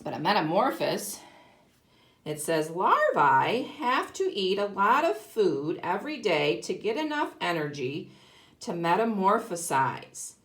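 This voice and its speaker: Woman, kindergarten teacher, hugely varied intonation, slow pace, simple emphatic explanations, read-aloud style. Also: mid-range voice, big pitch swings. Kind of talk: read-aloud lesson for young children, explaining and asking questions.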